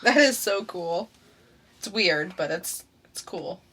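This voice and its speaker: A woman's voice: on the low side, expressive, slow pace, fairly bright tone, slightly rough. A young woman co-hosting a podcast, chatting casually and reacting with short, eager remarks. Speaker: podcast co-host. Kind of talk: casual chat.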